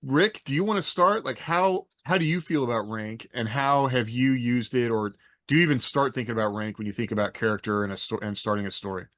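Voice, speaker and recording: A middle-aged man hosts a casual panel podcast. His voice sits at an average male pitch, recorded dry with no room sound. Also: fairly quick, a bit bright, no room sound. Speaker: podcast host. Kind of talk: casual panel talk with rehearsed introductions.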